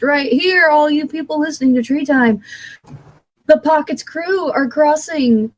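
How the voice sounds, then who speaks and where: A woman with a notably high voice speaks as a podcast co-host. She makes casual announcements to her listeners in a panel-talk setting. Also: a little mellow, low-quality recording.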